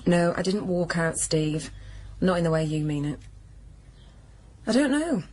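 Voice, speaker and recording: A woman's voice: on the low side, expressive, a little slow, a little mellow, a little hiss or hum. A woman playing an anxious, stubborn young woman in a naturalistic radio drama. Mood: upset, pleading. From northern England.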